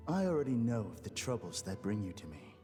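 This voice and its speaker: A man's voice: fairly low pitch, very animated, brisk, dark tone. An actor playing a grandiose, serene spiritual guru in a video game, solemn and pompous, with reverb on his voice. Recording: long hall reverb over game music.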